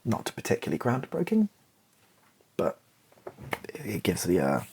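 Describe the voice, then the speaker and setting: A British man doing casual, improvised ASMR, thinking aloud in hesitant fragments with a soft, breathy, partly whispered voice. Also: on the low side, wildly swooping pitch, normal speed, slight background noise. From Britain. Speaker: ASMR video creator thinking aloud while writing.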